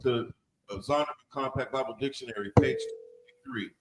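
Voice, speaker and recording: A man's voice: medium pitch, normal speed, background noise. A man reading a definition aloud from a reference book in class. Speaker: student.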